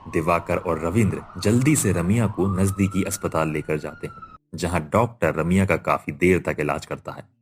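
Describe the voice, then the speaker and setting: Deep-voiced male story narrator. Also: wildly swooping pitch, moderate pace, a little hiss or hum. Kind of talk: scripted cartoon story narration.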